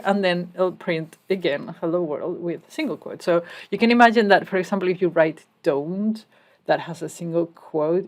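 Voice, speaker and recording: A woman from Spain giving a casual step-by-step coding tutorial as she types. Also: lowish voice. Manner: explains step by step while typing, casual asides. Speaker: programming instructor.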